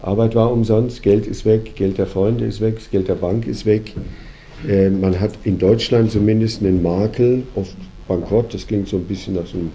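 Deep, dark-voiced German man hosting. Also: steady tone, normal speed, slight background noise. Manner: reflective, argumentative, hesitant with fillers. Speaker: host and company founder. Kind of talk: lecturing conversation.

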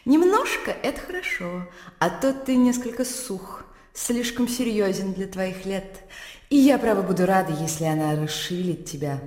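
A worldly, self-assured widow played by an actress in a classic radio drama. She speaks in a medium-pitched woman's voice, articulate and teasing, frankly egoistic. The sound rings with long, hall-like reverb. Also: expressive, normal speed, darkish tone, lightly acted. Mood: teasing, affectionate. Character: worldly, ironic young widow, freed and openly egoistic.

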